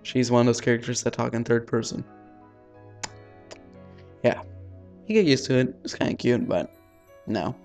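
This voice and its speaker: A man's voice: medium pitch, moderate pace, pauses often, a little mellow, faint music behind. A man hosting a let's-play, reading a visual novel aloud with casual asides and the odd stumble over words.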